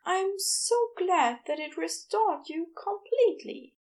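A woman voicing a gentle, earnest, bashful man with tender, hesitant phrasing in an audiobook. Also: extremely high pitch, lively intonation, takes it slowly, voice pushed up, full character voice. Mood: tender, relieved. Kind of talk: audiobook character dialogue.

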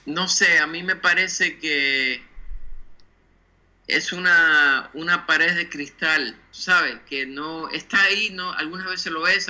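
Cuban-born guy in his 60s, kinda high voice, super bright and forceful, thinks out loud and slips into english words. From Cuba. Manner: thoughtful, drops English words into his Spanish. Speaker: guest designer. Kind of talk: casual interview talk.

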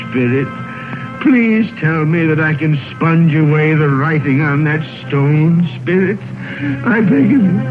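An older actor playing an excitable old miser in a vintage radio drama, theatrical and stammering. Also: male voice, on the high side. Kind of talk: 1930s-40s radio drama acting. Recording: old radio broadcast, narrow band, music.

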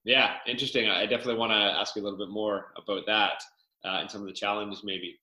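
A man's voice: fairly low pitch, fairly even intonation, quick pace, sharp tone, lo-fi sound. A man hosting a podcast interview, upbeat and enthusiastic, with loose filler-filled questions. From Canada. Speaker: podcast host. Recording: echoey room.

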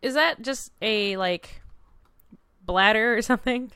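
A woman's voice: fairly high pitch, a little slow, bright, forceful tone, clean tone, dry recording. A woman in casual, informal conversation, with a wry and playful manner. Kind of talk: casual chat.

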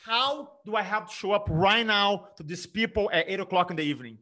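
A middle-aged man from Brazil with a Brazilian Portuguese accent, an energetic speaking coach talking emphatically in an interview. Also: sits high, a little fast. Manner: energetic, emphatic, frequent restarts. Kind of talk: conversational interview.

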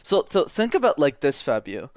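A man's voice: on the high side, normal speed, dry recording. Young guy, speaking coach, punchy and direct, repeats himself a lot, super dry audio. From Montreal, Canada. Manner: energetic, direct, repeats words for emphasis. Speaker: public speaking coach, guest. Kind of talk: casual interview talk.

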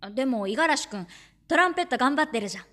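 Woman acting shy, high-voiced high school girl. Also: expressive, very fast, a bit bright. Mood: encouraging. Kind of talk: Japanese audio drama acting with first-person narration.

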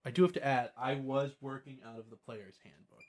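A man's voice: medium pitch, fairly even intonation, fairly quick, a little mellow. A man chatting casually as a podcast host about tabletop gaming, often repeating words and trailing off.

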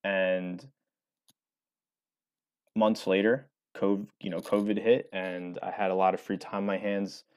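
A man's voice: deep voice, flat delivery, a little slow, pauses often, a little mellow, clean tone. A young man in his twenties answering interview questions casually, rambling with many fillers and restarts. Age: 20s. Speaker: author and former college baseball player.

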